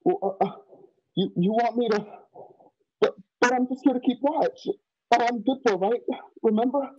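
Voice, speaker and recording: A scared outlaw's son in his teens, acted by a man for a radio drama. He stammers and pleads in a dark, breathy voice, recorded dull and muffled over a video call. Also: very high voice, moderate pace. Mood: nervous evasive. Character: timid, frightened teenage outlaw's son.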